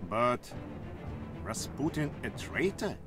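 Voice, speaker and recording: An imperious Tsar in a video game drama. His mid-pitched voice is stern, formal and haughty, set over clearly audible music. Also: very animated, slow pace. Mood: distrustful. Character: proud, imperious male monarch, the Tsar of Russia. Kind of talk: video game cutscene acting.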